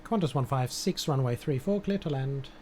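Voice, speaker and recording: Mellow man issuing air traffic clearances. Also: mid-range voice, expressive, moderate pace. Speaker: live streamer playing as air traffic controller. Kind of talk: air traffic control clearances with casual commentary.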